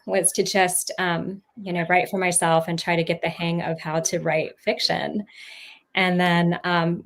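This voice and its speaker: A woman's voice: on the low side, gravelly. Woman author, former teacher; rambling casual talk with frequent ums and laughs, heard over a video call. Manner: rambling, lots of ums, laughs mid-sentence. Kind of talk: casual livestream chat.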